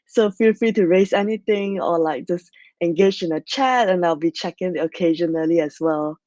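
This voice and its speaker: A young woman from Malaysia presents at an online conference in a loose, chatty way, leaning on "so yeah" and "like". Her voice sits a little low. The audio is dry and a bit muffled, as from a computer mic on a video call. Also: moderate pace, a little mellow, dry recording. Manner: chatty, many fillers like "like" and "so yeah". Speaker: conference speaker.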